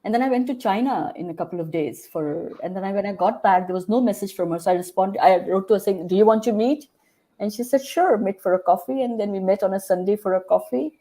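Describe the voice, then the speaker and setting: A woman hosting a casual interview, chatty and quick to laugh. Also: mid-range voice, warm tone, low-quality recording. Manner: chatty, laughs often, mixes in Hindi words. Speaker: podcast host.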